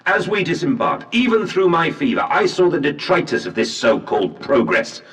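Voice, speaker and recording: An actor with a British accent playing a fanatical, righteous Victorian industrialist in a horror game, impassioned, precise and oratorical. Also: male voice, on the high side, sing-song, moderate pace, fairly bright tone. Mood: righteous indignation. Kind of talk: theatrical period drama dialogue in a horror game. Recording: distant phonograph-style conversation recording.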